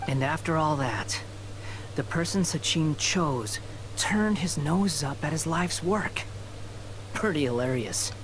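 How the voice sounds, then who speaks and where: A man's voice: fairly high pitch, lively intonation, slow pace, fairly bright tone. A man voicing a proud young male wanderer in a video game, dry, scornful and sarcastic. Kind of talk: scripted video game dialogue. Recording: noisy game stream capture.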